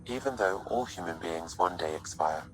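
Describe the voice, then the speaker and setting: A low-voiced, composed AI companion in a video game. It speaks formally and evenly, curious yet detached, with faint noise behind it. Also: male voice, animated, moderate pace, rough recording. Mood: calm, formal. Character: calm, curious male-voiced artificial intelligence assistant.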